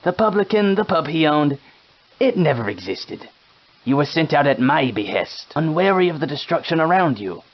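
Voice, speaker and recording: An actor playing a genteel, verbose shopkeeper with a villain's streak, in formal, archaic, theatrical game dialogue. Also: male voice, a little high, sing-song, moderate pace, dull audio. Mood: smug, sinister. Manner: formal, archaic, florid wording. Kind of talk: theatrical period game acting.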